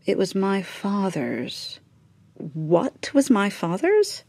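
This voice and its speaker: Middle-aged well-to-do wife, radio drama, medium pitch, dry close recording, clipped, halting, bitter. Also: female voice, wildly swooping pitch, darkish tone, slightly rough, no echo, exaggerated voice. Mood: distracted, terse. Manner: clipped, halting, repeating phrases. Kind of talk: naturalistic radio drama acting.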